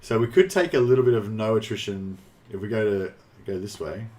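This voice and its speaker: An Australian man chatting casually on a live game stream, rambling and halting, with some swearing. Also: fairly low pitch, expressive, darkish tone. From New South Wales, Australia. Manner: rambling, halting, foul-mouthed. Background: faint game music.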